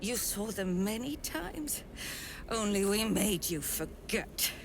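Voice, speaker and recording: A woman playing a haughty, menacing middle-aged mother superior of a dark cult in a fantasy video game, speaking imperiously with a cold, taunting edge. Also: mid-range voice, lively intonation, background noise. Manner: imperious, deliberate, coldly taunting. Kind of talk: theatrical video game dialogue.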